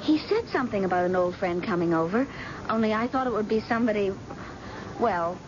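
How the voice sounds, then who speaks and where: A woman's voice: mid-range voice, lively intonation, brisk, lightly acted. An actress playing a poised, coolly playful young woman, somebody's grown daughter, in a vintage American radio drama. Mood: polite, curious. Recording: dull, noisy old radio broadcast.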